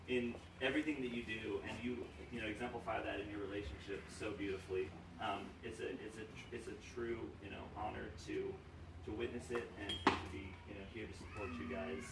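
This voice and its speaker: A man's voice: mid-range voice, gravelly. A young man from Romania giving a joking, rambling best-man toast full of fillers, at a wedding reception. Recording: echoey room, far from mic, noisy.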